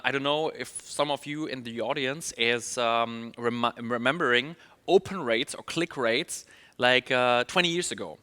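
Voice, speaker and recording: German-accented male trainer, upbeat presenter, bright voice, reverberant hall sound. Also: mid-range voice. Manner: upbeat, many fillers and restarts. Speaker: email marketing trainer. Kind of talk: online conference presentation.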